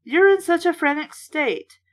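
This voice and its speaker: A woman's voice: high voice, expressive, measured pace, pitched above the performer's own voice. A woman voicing a placating mother in a children's horror storybook, reassuring and trying to sound cheerful. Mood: forced cheer.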